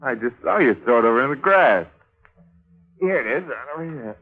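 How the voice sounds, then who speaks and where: A man's voice: medium pitch, animated, brisk, breathy edge. An actor playing a cocky young male sidekick, informal and suspicious, in 1940s radio mystery style. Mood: wry, suspicious. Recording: muffled 1940s radio recording.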